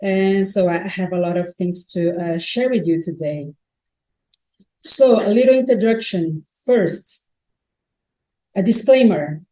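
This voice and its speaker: Mellow-voiced Brazilian woman giving a talk. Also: on the low side, a little slow, long pauses, low-quality recording. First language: Portuguese. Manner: chatty, self-correcting, frequent restarts. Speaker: conference speaker. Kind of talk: tech conference talk. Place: conference stage.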